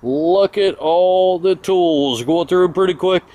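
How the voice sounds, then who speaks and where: A man's voice: high voice, takes it slowly, dark tone, no room sound. A man giving a casual, folksy off-the-cuff walkthrough of auction items, listing them in short phrases outdoors into a handheld camera. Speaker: auction house presenter. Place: outdoors in an open-air auction yard. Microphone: handheld camera held by the speaker. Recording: outdoor ambient noise.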